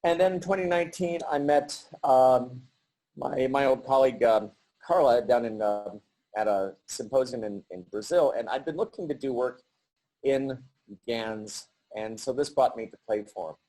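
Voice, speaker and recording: A middle-aged man giving a halting virtual artist talk over a video call, with many pauses and restarts. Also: medium pitch, dark tone, poor audio. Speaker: artist presenting his work.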